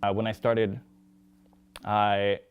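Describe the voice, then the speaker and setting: Even-toned young man with lowish voice. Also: slow pace, narrow, tinny audio. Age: young adult. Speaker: actor and former theatre intern. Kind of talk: sincere reflective documentary interview. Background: soft guitar music.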